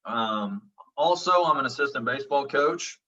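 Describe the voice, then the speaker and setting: A man from Oklahoma with a noticeable accent, teaching a college class over a video call. His voice is bright and a little forceful, and he talks in a relaxed, reassuring way with lots of ums. The audio is dull and lo-fi, as if he sits back from the mic. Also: mid-range voice, normal speed, far from the mic. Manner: reassuring, frequent ums, checks in with "okay?". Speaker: college instructor. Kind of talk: casual online class introduction.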